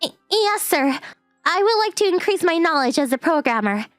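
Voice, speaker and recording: A woman's voice: very high-pitched, sing-song, a bit bright, no room sound, clean studio sound, faint music behind, full character voice. A woman voicing a prickly, easily flustered young stepsister in a manga dub, with a huffy, defensive manner. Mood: nervous. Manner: huffy, defensive, slow-paced. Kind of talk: manga dub character acting.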